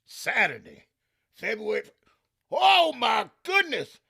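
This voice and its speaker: A man doing a gravelly, high-voiced wisecracking valet. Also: big pitch swings, slow, bright, forceful tone, heavily acted. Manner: drawling, gravelly comic delivery. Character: wisecracking male valet, loyal and sly. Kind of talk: 1950s radio comedy acting.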